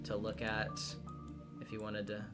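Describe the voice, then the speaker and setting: Man, casual streamer chat, unhurried and halting, unfinished thoughts, clear music behind the voice. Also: fairly low pitch, monotone. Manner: halting, trailing off mid-thought. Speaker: streamer and voice actor hosting a visual novel readthrough. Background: background music.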